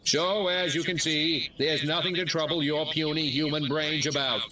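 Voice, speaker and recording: A man's voice: a little high, steady tone, normal speed, far from the mic, a crowd in the background, natural voice, rough recording. An American man playing a bluffing small-town mayor in a video game, giving pompous, folksy, satirical 1950s-style political speeches. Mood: pompous assurance. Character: bluffing small-town mayor, alien impostor addressing townsfolk.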